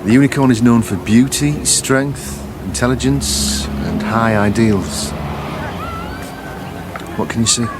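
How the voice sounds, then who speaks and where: An actor playing a patient psychologist who draws out buried memories, speaking slowly and calmly in a coaxing, hypnotic way. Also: male voice, mid-range voice, over a noisy crowd, very noisy, natural voice. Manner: calm, slow, coaxing, hypnotic questioning. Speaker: memory recall specialist. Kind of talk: radio drama acting. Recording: music playing under the voice.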